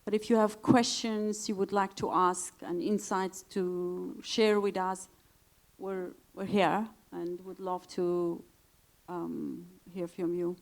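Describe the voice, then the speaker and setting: Friendly woman moderator, lowish voice. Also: flat delivery, takes it slowly, gravelly. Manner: friendly, hesitant, with fillers. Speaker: panel moderator. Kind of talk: moderating a conference panel.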